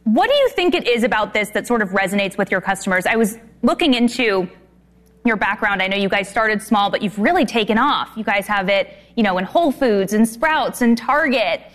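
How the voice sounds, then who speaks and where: A woman's voice: mid-range voice, lively intonation, a little fast, fairly bright tone. A woman anchoring a morning news show, friendly and upbeat as she interviews a guest.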